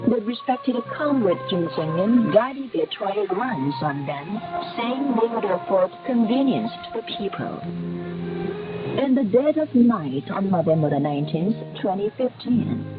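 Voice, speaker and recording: Woman announcer on muffled shortwave radio. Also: medium pitch. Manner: formal, reverent reading. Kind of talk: scripted narration for a state radio broadcast. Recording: narrowband shortwave radio, muffled, music behind. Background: music.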